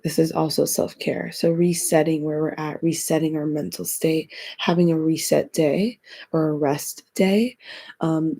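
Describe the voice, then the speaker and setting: Raspy-voiced woman hosting a podcast. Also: sits low, dark tone. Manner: chatty, encouraging, repeats phrases. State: raspy, recovering from illness. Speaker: podcast host. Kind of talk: casual self-help podcast monologue.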